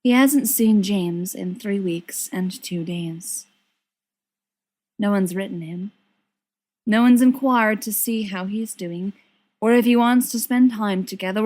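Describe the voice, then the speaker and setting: A woman reading a story aloud as an expressive narrator. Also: mid-range voice, unhurried. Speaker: volunteer audiobook reader. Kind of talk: expressive scripted fiction narration.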